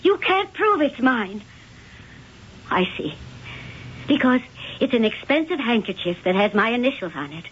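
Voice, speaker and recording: A well-to-do woman accused of a crime, pleading and indignant. Her high, husky voice swings widely in pitch, halting and emphatic, on a dull old radio recording. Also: very animated, a little hiss or hum. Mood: indignant despair. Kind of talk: old-time radio drama acting.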